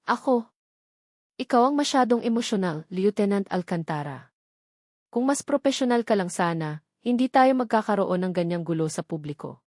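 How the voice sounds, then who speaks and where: A woman's voice: mid-range voice, lively intonation, moderate pace, a little mellow, no room sound, natural voice. A composed male lawyer in a romance audio story, read by a woman. He speaks formally and with control, cold toward the person he addresses. The sound is dry and close. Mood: cold, irritated.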